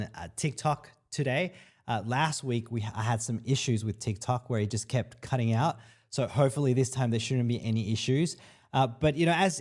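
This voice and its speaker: Male livestream host, 30s, from El Salvador; casual upbeat chatter, mid pitch, heavy filler words. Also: a little mellow. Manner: chatty, upbeat, lots of fillers, reads comments aloud. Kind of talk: casual live Q&A stream.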